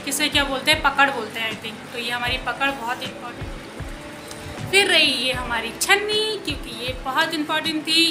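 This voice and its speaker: A young woman talking casually into a phone camera she holds at arm's length, chatty and laughing often. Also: high voice. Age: young adult. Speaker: vlogger. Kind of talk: casual walking vlog. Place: busy outdoor market street. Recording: street crowd noise with music.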